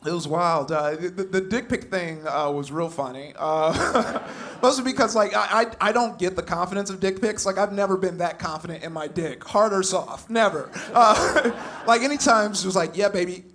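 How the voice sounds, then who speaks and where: A young man from California doing a stand-up comedy set on stage, animated, laughing through his punchlines and acting out shouted quotes. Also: sits high, normal speed. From Compton, California. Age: young adult. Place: comedy club stage with a live audience.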